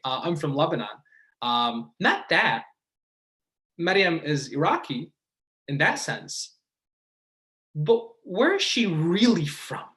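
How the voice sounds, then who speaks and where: A man's voice: a little high, a bit bright. American man giving a reflective religious lecture. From United States, from the Detroit and Dearborn area of Michigan. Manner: deliberate, with pauses and rhetorical questions.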